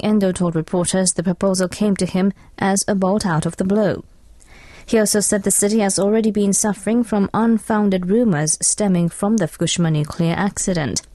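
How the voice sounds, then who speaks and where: A woman's voice: medium pitch, warm tone, no echo. A woman reading a scripted radio news bulletin. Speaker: radio newsreader.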